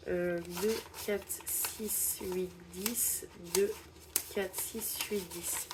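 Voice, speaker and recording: A woman's voice: mid-range voice, steady tone, drawn-out words, noisy background. A young woman with a stuffy head cold, casually narrating a budgeting vlog and hesitantly counting bills aloud. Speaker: budgeting channel host.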